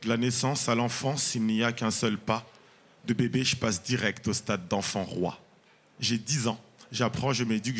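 A man in his thirties speaks into a handheld mic on stage, his voice lowish and husky. He chats loosely with the crowd first, then moves into a rhythmic, rhyming spoken-word recitation. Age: 30s. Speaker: comedian and performer.

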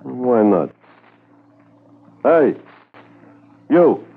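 An actor playing a casual, folksy railway worker with clipped colloquial phrasing in a 1950s radio drama. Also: male voice, medium pitch, sing-song, extremely slow, vocal fry, full character voice. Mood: casual, watchful. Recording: tinny 1950s radio recording.

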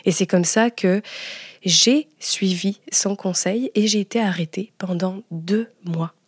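A woman hosting a podcast, telling her own story to listeners in an expressive, confiding way. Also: mid-range voice, unhurried, slightly rough, no echo, studio quality. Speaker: podcast host and entrepreneur.

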